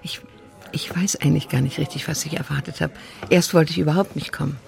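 A woman's voice: deep voice, steady tone, quick pace, creaky, a little mellow, faint music behind. A woman playing a candid widowed grandmother in a naturalistic radio play, speaking frankly and a little hesitantly. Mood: candid, chatty. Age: 60s or older. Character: candid widowed grandmother at senior speed dating.

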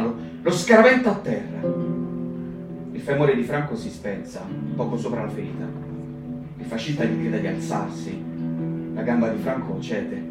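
Guy doing a dramatic reading on the radio, lively pitch, lots of pauses, far off in a roomy space. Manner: slow, broken phrasing with long dramatic pauses. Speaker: performer in a staged reading. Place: radio studio or stage. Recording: roomy radio broadcast with guitar music, from far off.

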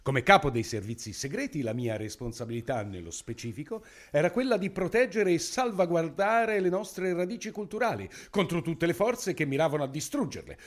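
An actor playing a pompous, menacing authoritarian government minister in a satirical radio play, declaiming grandly with smug brutality. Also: male voice, on the high side, lively intonation, brisk, few pauses, natural voice. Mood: cold, matter-of-fact.

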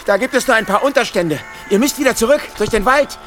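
A wary, high-voiced country carter. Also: male voice, animated, fairly bright tone, a little hiss or hum. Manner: halting, blunt, repeats himself. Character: wary, simple, harsh-minded country carter. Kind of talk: German radio drama acting.

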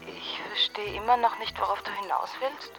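A woman's voice: mid-range voice, moderate pace, a bit bright, soft background music, lightly acted. An actress playing a worried, easily exasperated girlfriend with an Austrian accent in a naturalistic radio drama. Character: Susi, worried, exasperated girlfriend of an amateur sleuth.

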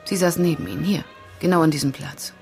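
An actress playing a cool, enigmatic woman in a radio drama, speaking in a measured, quietly teasing way. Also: low-pitched, expressive, gravelly. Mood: guarded. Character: cool, enigmatic woman in a noir bar, controlled. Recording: music behind the dialogue.

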